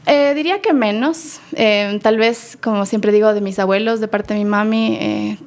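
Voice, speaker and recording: A young woman who talks as a guest on an interview show, warm and halting, with many fillers. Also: medium pitch. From Ecuador. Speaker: guest, activist for pollinators. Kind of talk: interview answers.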